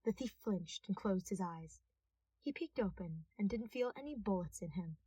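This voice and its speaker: A woman's voice: medium pitch, lively intonation, normal speed, warm tone, breathy edge, no room sound, far from the mic, rough recording. A woman reading scripted fiction aloud as a narrator. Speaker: podfic narrator.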